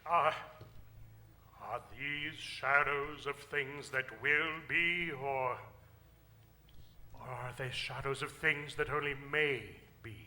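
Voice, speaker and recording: An actor playing a frightened, repentant old miser in a staged radio play, halting, stammering and pleading theatrically. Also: male voice, mid-range voice, fairly even intonation, sharp tone. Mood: pleading, fearful. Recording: live stage recording, faint noise.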